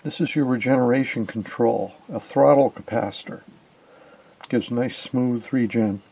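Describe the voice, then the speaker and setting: A man giving an informal hands-on demonstration as a hobbyist, explaining things in a hesitant way with pauses mid-phrase. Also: medium pitch, gravelly, dark tone, breathy edge. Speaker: amateur radio hobbyist and presenter.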